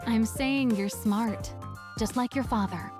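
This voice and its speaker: A woman's voice: on the high side, slow pace, over loud music. A woman playing a wry, composed, supportive female scientist who teases her young charge in a video game. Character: teasing, supportive adult female scientist, mentor to child. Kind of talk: voiced game event dialogue.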